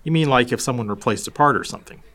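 An actor playing a casual, helpful electronics repair shop worker in a naturalistic audio drama. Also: male voice, medium pitch, very fast, fairly bright tone, close to an everyday voice. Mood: friendly, obliging. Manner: casual, obliging.

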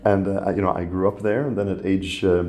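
A middle-aged man with a very low voice talks as an interview guest. He grew up in Saudi Arabia and rambles, filling his answers with fillers and restarts. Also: level tone, a little fast, dark tone. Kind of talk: conversational interview.

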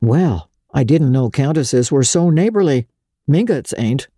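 A woman's voice: rumbling low, lively intonation, unhurried, mellow, breathy edge, no echo, clean studio sound, full character voice. A woman voicing a wry, shabby male journalist with an offhand, sardonic conversational manner in an audiobook of a classic novel.

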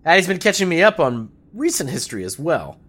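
Jovial old revolutionary, animated voice. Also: male voice, on the high side, brisk. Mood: warmly appreciative. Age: older. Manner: chatty, theatrical, folksy. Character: jovial old male revolutionary, garrulous and scheming. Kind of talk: audio drama acting.

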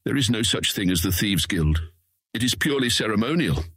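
A man's voice: fairly low pitch, expressive, fairly quick, fairly bright tone, slightly rough, dry recording, heavily acted. A man playing a rotund, old-fashioned guildsman in a fantasy audiobook drama, hearty and proper in manner. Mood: dismissive. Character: rotund, jovial, old-fashioned male guildsman and wagon trader.

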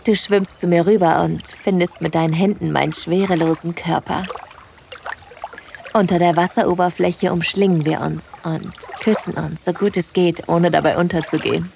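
A woman playing a smitten hiker who narrates her date intimately in a breathy, playful way for an erotic audio drama. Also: lowish voice, fairly even intonation, normal speed, a little mellow, a little hiss or hum. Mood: tender, intimate.

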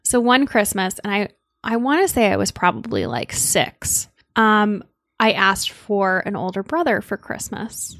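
A woman's voice: mid-range voice, animated, unhurried, fairly bright tone, dry recording. A young woman from Connecticut co-hosting a podcast, chatting casually and rambling with lots of "like.". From Connecticut, United States. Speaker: podcast co-host. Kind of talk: casual chat.

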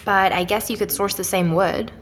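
A woman's voice: mid-range voice, fairly even intonation, moderate pace, slight background noise, natural voice. A woman playing a curious, candid amateur investigator, asking questions informally in an audio drama. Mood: intrigued. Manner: inquisitive, informal. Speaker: actor playing interviewer. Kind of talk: naturalistic audio drama dialogue.

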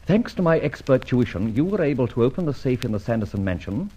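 A man playing a suave, wry and commanding gentleman adventurer in a vintage radio adventure serial. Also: mid-range voice, very animated, quick pace, husky. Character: suave, self-assured gentleman adventurer and amateur sleuth. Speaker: narrator and lead actor. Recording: dull old radio recording, music behind.

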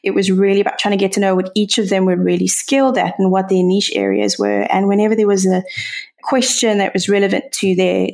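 A woman's voice: mid-range voice, flat delivery, a little fast, gravelly, darkish tone. A woman with a South African accent speaking earnestly as a guest on a podcast interview, often murmuring agreement. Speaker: podcast guest, community founder. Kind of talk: casual interview conversation.

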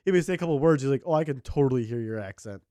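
A young man from Wisconsin with a thick local accent, chatting casually with friends on a podcast and tossing in quick, jokey quoted lines. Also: mid-range voice, expressive, dark tone, dry recording, clean studio sound. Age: young adult. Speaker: podcast co-host. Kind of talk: casual chat among friends.

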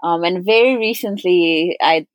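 A woman's voice: on the low side, a little slow, no room sound. A young woman from Slovenia, a guest athlete, talking casually in an interview with plenty of ums. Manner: relaxed, many ums, friendly.

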